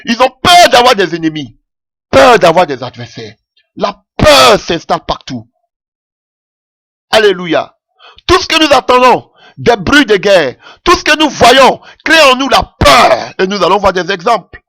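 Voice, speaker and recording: A man's voice: sing-song, measured pace, sharp tone, no echo. A man preaching a sermon with passion, calling out alleluia often as his voice rises. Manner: impassioned, rising pitch with frequent alleluias.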